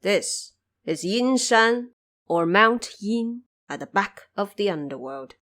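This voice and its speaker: Slow, grave underworld judge, voiced by a woman. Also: medium pitch, animated, a bit bright. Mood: calm, reassuring. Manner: formal, grave, deliberate recitation. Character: solemn male underworld judge guiding an emperor.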